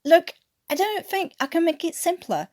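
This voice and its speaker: A woman's voice: very high-pitched, big pitch swings, moderate pace, darkish tone, pitched above the performer's own voice. A woman voicing a cheeky, reasoning male British airman in an attempted English accent, blunt, chummy and sardonic, for an audio story. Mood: exasperated.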